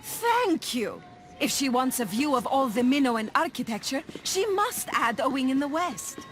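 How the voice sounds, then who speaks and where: High-pitched, opinionated female devotee. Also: sing-song, measured pace, fairly bright tone, heavily acted. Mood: chatty, assertive. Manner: sociable, assertive. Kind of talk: video game cutscene acting. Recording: music behind the voice.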